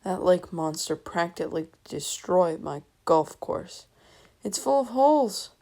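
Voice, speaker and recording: Woman doing grumbling creaky older uncle. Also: on the low side, expressive, unhurried, mellow, heavily acted. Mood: aggrieved. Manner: complaining.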